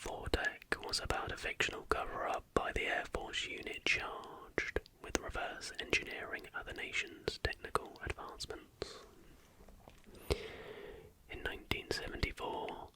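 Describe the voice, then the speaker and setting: ASMR host, unvoiced whisper, breathy, hushed, measured scripted narration. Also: poor audio. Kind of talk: ASMR reading of conspiracy history, scripted narration with brief asides.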